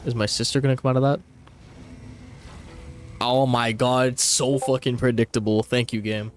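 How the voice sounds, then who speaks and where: A man's voice: medium pitch, flat delivery, normal speed. A man doing casual, profanity-laced live game commentary and banter, with a blunt, teasing, slangy manner. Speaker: game streamer. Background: music.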